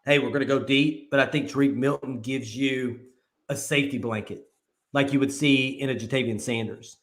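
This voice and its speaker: A man's voice: medium pitch, fairly even intonation, normal speed. A man co-hosting a casual sports talk show, loose and rambling with lots of filler words. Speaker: co-host. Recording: echoey room.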